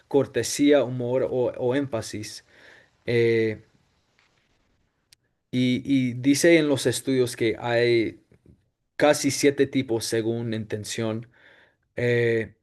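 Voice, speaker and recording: Slow, hesitant young man presenting. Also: mid-range voice, a little mellow. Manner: hesitant, many fillers and restarts; mixes English "so" and "ok" into his talk. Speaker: student presenter. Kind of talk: class presentation.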